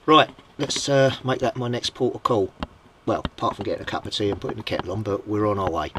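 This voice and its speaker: A man's voice: fairly low pitch, fast, background noise. A British man giving an informal, explanatory repair update as a hobbyist, thinking aloud while he works with his hands. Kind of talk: casual explanatory vlog while handling equipment. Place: indoors at a home workbench.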